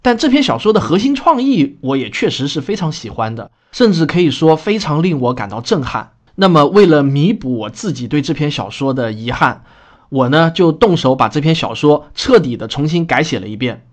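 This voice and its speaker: A man speaking as a podcast host, explaining in an earnest, deliberate monologue. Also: on the high side, big pitch swings. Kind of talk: spoken introduction, explanatory monologue.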